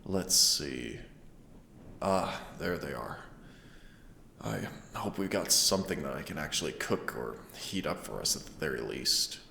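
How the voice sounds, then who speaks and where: Male actor as caring young-love boyfriend for intimate audio roleplay: very low, gravelly voice, tender and reassuring. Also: animated, a little slow, bright, forceful tone, slight background noise, full character voice. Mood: uneasy. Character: affectionate, cautious, playful boyfriend sheltering from a snowstorm. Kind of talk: intimate audio roleplay, one side of a conversation.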